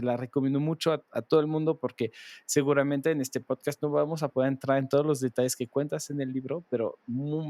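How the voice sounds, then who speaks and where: A man's voice: medium pitch, dry recording. A man hosting a podcast who asks his guest a question, stumbling and repeating words as he talks. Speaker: podcast host. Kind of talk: interview question.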